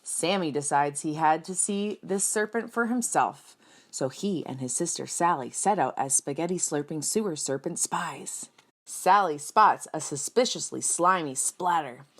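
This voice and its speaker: A woman reading a picture book aloud for children in an expressive storytelling style, speaking directly and simply to young listeners with dramatic emphasis. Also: low voice, measured pace. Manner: dramatic emphasis, acts out sound words. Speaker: children's read-aloud reader.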